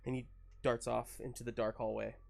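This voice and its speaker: A man acts as game master for a tabletop roleplaying game, with faint music behind him. His voice sits at a middling pitch. He improvises his narration informally, feeling his way haltingly through each explanation. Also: level tone, gravelly.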